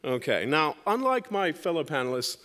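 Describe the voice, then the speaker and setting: A man from Lebanon speaks as a panelist in a debate, with a voice set somewhat higher than most men's. He delivers prepared arguments emphatically, in an argumentative style that uses rhetorical questions to press his case. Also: very animated, normal speed, a bit bright. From Lebanon, grew up in West Beirut. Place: university debate hall.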